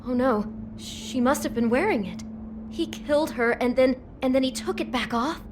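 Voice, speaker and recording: An actress plays a young female hotel worker in a video game drama. Her voice sits fairly high, anxious and questioning, with a blunt, sharp-tongued edge. Ambient noise is clearly audible in the background. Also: normal speed, close to an everyday voice. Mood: disturbed.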